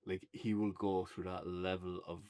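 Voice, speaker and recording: A man's voice: low-pitched, little pitch movement, normal speed, mellow. An Irish man chatting casually and unscripted as a podcast co-host reviewing a show. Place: indoors on a couch, podcast setup.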